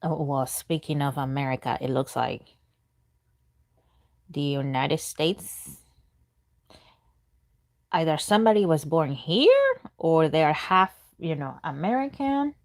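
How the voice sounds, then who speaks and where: A woman's voice: deep voice, sing-song, slow pace, pauses often. A woman whose first language is Spanish, doing a chatty live tarot reading and answering questions from chat as she thinks aloud. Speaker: tarot reader.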